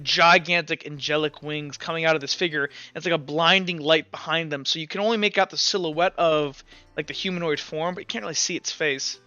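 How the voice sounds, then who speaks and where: A man acting as game master, improvising tabletop narration in halting descriptions full of fillers and repeats. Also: a little high, pushed and bright, no echo. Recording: muffled lo-fi mic with music. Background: Latin chant music.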